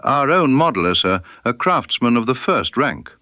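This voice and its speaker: A man's voice: mid-range voice, very animated, moderate pace, no echo, lo-fi sound, pitched above the performer's own voice, natural voice. A man voicing an evasive, obsequious waxworks proprietor in an audiobook story, speaking formally and guardedly. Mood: smooth, deferential. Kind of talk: audiobook character dialogue.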